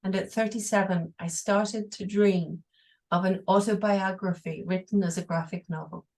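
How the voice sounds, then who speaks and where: A woman reading an English translation aloud, steady and careful, with brief pauses. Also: medium pitch. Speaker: interpreter.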